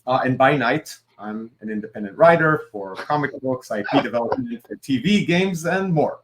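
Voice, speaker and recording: A man's voice: mid-range voice, animated, moderate pace, background noise. A man in his forties from Israel, a Hebrew speaker, talking casually as an interview guest, rambling with fillers and asides. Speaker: guest, creative director and comic writer.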